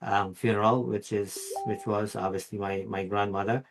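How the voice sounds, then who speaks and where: A man from Guyana hosting an interview, relaxed and encouraging, with many "you know" and "kind of" fillers. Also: fairly low pitch, normal speed, background noise. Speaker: host. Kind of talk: casual interview talk.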